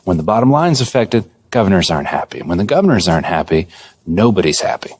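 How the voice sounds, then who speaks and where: A man playing an earnest, data-minded basketball executive in a video game, speaking in a measured corporate way. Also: deep voice, big pitch swings, breathy edge, dry recording, full character voice. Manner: measured, businesslike, corporate jargon. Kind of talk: conversational video game cutscene acting.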